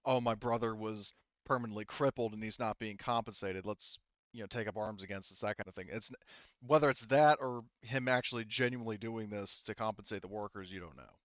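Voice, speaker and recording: A man acting as game master in a tabletop roleplaying session, narrating and explaining rules in a halting, circling way with many hedging asides. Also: lowish voice, a bit bright, no room sound, dull audio.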